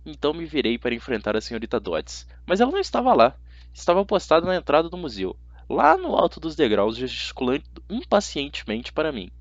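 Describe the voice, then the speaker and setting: A Brazilian-accented man reads a first-person novel aloud. His delivery is lively and dramatic, with wide swings in pitch. The sound is very dry and a little dull. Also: a little fast, no room sound. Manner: dramatic, expressive reading. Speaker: amateur audiobook narrator. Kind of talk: scripted first-person story narration. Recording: slightly dull, dry audio.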